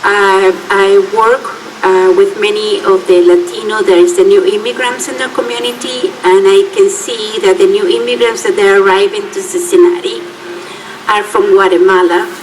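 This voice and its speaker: A woman's voice: medium pitch, steady tone. Earnest woman on a panel. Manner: earnest, halting, with restarts. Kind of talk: panel talk. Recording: distant mic, faint room noise.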